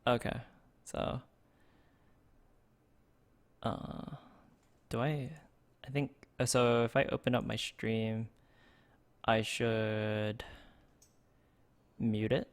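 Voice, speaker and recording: A man's voice: mid-range voice, level tone, extremely slow, long pauses. A man streaming a card game for the first time, thinking aloud with many fillers and restarts, close to his desk microphone. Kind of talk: casual gameplay streaming.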